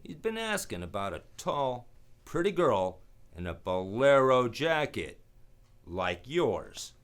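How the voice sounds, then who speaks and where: A man playing a laconic, wry private detective in a radio play, terse and deadpan, with music under him. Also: medium pitch, slow, smooth. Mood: calm, firm. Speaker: lead actor and narrator. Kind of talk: hardboiled detective radio play, narration and dialogue.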